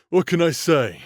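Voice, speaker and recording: A man's voice: fairly high pitch, no room sound, clean studio sound. A man playing a swaggering, foul-mouthed demonic ex-paladin in an audio roleplay drama, taunting and vengeful. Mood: cocky, menacing. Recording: music under the voice.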